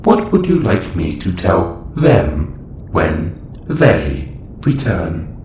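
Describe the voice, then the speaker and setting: A man's voice: low voice, big pitch swings, drawn-out words, darkish tone, full character voice. A man playing a formal, clinical caretaker robot in a sci-fi audio roleplay, speaking in stilted, precise phrases. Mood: clinical, instructive. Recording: roomy echo, faint background noise.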